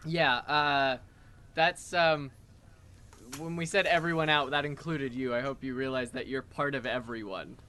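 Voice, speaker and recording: A woman's voice: extremely low pitch, fairly even intonation, measured pace, a bit bright. A woman doing improvised comedy banter with a dry, sarcastic and hesitant delivery. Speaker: comedy show performer.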